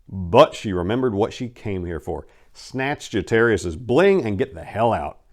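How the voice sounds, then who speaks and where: A man's voice: on the low side, sing-song, measured pace, darkish tone. A man narrating a crime short story as a dramatic reading, with theatrical, emphatic delivery.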